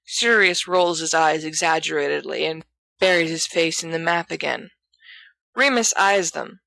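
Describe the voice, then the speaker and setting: A woman's voice: deep voice, normal speed, creaky, sharp tone, no echo, low-quality recording. A woman reading scripted fiction aloud as an audiobook narrator. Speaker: audiobook reader.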